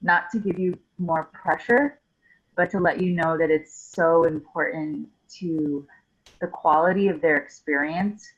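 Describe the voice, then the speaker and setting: A woman with a lowish voice explains her point candidly to the group. The call audio is slightly dull and lo-fi. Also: measured pace, slightly rough. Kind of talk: casual group-call remarks.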